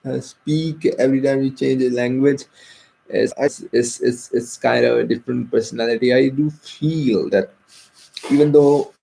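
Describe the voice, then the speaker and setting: A man's voice: medium pitch, fairly even intonation, moderate pace, vocal fry, warm tone, rough recording. A young man with an Indian accent, a native Hindi speaker, talking hesitantly in a personal vlog with many fillers and restarts. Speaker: vlogger.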